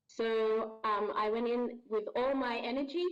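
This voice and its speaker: A woman's voice: fairly high pitch, fairly even intonation, measured pace. A woman from Ghana, a voice actor guest on a podcast, talking in a friendly, thoughtful way and laughing easily. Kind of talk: casual podcast interview. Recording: dull lo-fi audio.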